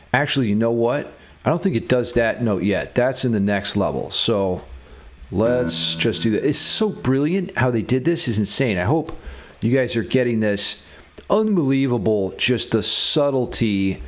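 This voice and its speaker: A man giving a casual guitar theory tutorial, thinking aloud and enthusiastic about the material as he explains it. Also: fairly low pitch. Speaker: guitar music theory teacher.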